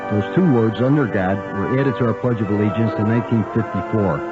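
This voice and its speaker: A man's voice: on the low side, brisk. A man reading an opinionated radio advertisement as an author promoting his own book. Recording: dull radio spot over music.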